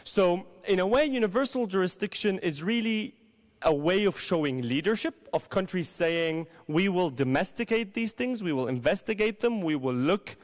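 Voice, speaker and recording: A man's voice: high-pitched, animated, normal speed. A man in his thirties from Syria, a legal counsel answering on a conference panel with a handheld mic, hesitant with many restarts and repeated words. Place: large conference hall. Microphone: handheld microphone.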